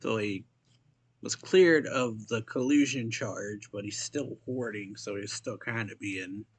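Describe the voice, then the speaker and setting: A man giving casual game commentary, low-key and often trailing off in half-finished remarks. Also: unhurried, breathy edge. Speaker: reaction video streamer.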